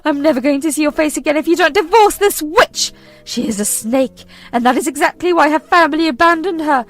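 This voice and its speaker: A woman voicing a manipulative, whining older mother-in-law who accuses and pleads in a shrill, melodramatic way. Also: brisk, faint music behind, natural voice. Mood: shrill pleading.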